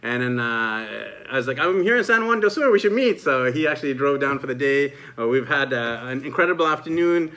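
A man's voice: medium pitch, animated, a little fast, hardly any pauses, bright, forceful tone. A man hosting an online interview show in an enthusiastic, chatty way.

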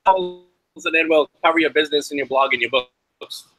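A man hosting a travel podcast over a video call from a hostel, chatty and filling pauses with "uh". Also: on the high side, bright, forceful tone, a little hiss or hum, poor audio. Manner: chatty, many uh fillers. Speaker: podcast host. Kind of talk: podcast interview. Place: hostel with weak Wi-Fi.

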